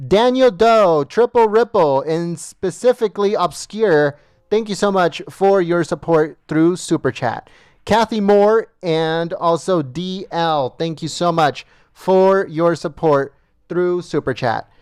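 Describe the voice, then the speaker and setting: A man's voice: sits high, clean tone, no room sound. A man hosting a livestream, reading out viewer names and thanking each in turn while losing his voice, with small stumbles over names. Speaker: livestream host.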